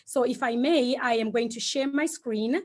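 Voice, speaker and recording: A woman, a Shona speaker, giving an informal online presentation in a reflective, hesitant way, often pausing mid-thought. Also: on the high side. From Zimbabwe. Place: online video call.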